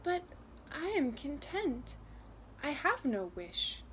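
A woman's voice: sits high, big pitch swings, slow pace, a bit bright, slight background noise, voice pushed up, heavily acted, low-quality recording. A woman voicing a kind young peasant girl in a storybook, shy, modest and earnest. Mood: modest, content.

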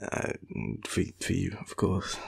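A tired man with an Australian accent, murmuring and rambling drowsily as a clingy, teasing boyfriend in an intimate roleplay, often half-whispering. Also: slow. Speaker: audio roleplay performer playing a boyfriend.

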